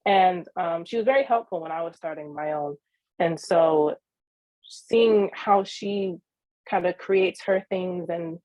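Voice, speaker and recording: A woman's voice: fairly low pitch, darkish tone, no echo, low-quality recording. An eighteen-year-old woman from New York answering interview questions about her small business, earnest, with frequent ums and restarts. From New York (Queens). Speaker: young entrepreneur guest.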